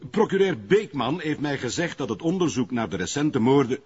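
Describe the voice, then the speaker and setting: An actor playing a pompous, evasive middle-aged burgomaster in a TV crime drama, with formal, wordy phrasing and a Flemish Belgian accent. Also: male voice, mid-range voice, sing-song, a little fast, darkish tone, breathy edge. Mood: placating. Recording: muffled, lo-fi broadcast audio.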